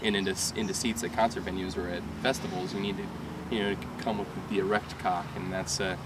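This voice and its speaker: A young man from Michigan with a lowish voice tells loose, rambling stories, laughing and restarting as he goes. He stands a little off from the mic, and loud street traffic roars around him outdoors. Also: little pitch movement, fairly quick, far from the mic. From Michigan, USA. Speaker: rock band singer. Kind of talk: casual interview chat.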